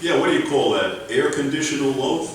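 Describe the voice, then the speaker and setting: A man's voice: medium pitch, expressive, fairly quick, natural voice. A man playing a dim, cocky male pro bowler in a live old-time radio comedy, talking in street slang and mangled words. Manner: streetwise slang, garbled malapropisms, hesitant when flirting. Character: dim, swaggering male pro bowler, tough-guy boxer parody. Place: library meeting room, live show. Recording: very echoey, distant mic, room noise.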